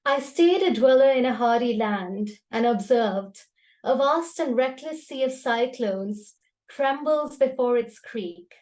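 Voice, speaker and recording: Female poetry host, fairly high voice, conversational explainer and reciter. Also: a little mellow, rough recording. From Karachi, Pakistan. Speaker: host and poet at a poetry gathering. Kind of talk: spoken introduction and poetry recital. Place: online video call.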